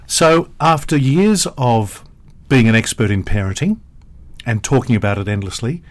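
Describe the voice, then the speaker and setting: A man's voice: medium pitch, lively intonation, normal speed. A man hosting a podcast interview, asking questions with playful teasing. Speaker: podcast host.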